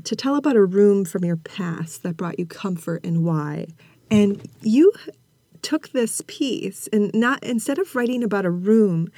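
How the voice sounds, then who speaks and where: A woman's voice: mid-range voice, warm tone, dry recording. A woman hosting a podcast, speaking in a presenter's style for introductions and interview talk. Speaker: podcast host. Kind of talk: scripted narration and warm-up interview chat.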